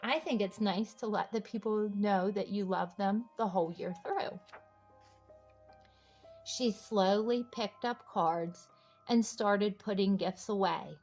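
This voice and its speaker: A woman reading a children's storybook aloud in an encouraging way, pausing to ask young listeners simple questions. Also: medium pitch, a little mellow, faint music behind. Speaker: children's book read-aloud host.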